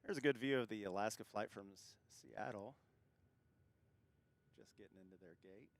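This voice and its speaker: A man with a fairly low voice hosts a relaxed live stream from an airport. He reads out comments and repeats his effusive thanks. Clear ambient noise sits behind him. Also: normal speed. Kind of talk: casual live-stream chat, reading viewer comments.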